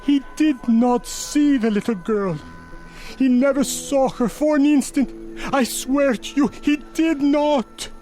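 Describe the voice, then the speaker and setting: A man's voice: extremely high pitch, slow pace, dark tone, slight background noise, low-quality recording. An actor playing a humble, grieving old father in a radio drama, imploring and sorrowful. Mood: anguished, sorrowful. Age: older. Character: humble, grieving old Mexican father pleading for his son.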